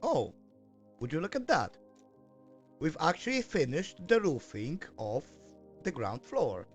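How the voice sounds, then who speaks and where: A man's voice: a little high, sing-song, a little slow, faint music behind. A man streaming and chatting casually as he works, hesitant with lots of fillers and self-corrections. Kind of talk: casual live-stream chat while working.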